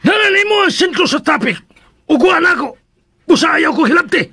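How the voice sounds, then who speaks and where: An actor playing a jealous, needy young husband in a radio drama, theatrical and volatile, with a Filipino accent. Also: male voice, very high voice, expressive, brisk, no echo, clean studio sound, exaggerated voice. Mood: jealous, angry. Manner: theatrical, swinging from barked demands to pleading. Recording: loud dramatic music bed.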